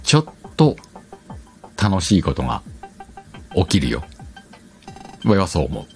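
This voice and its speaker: A man's voice: low voice, huge pitch swings, unhurried, a little mellow, faint music behind. A man hosting a casual online radio show, chatting colloquially and addressing listeners directly. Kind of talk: casual solo talk and advice to streamers.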